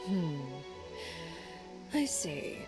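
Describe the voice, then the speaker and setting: A woman voicing a cold, composed female spymaster in a video game, calm, measured and quietly evasive. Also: lowish voice, soft background music, heavily acted. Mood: cool. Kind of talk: game dialogue.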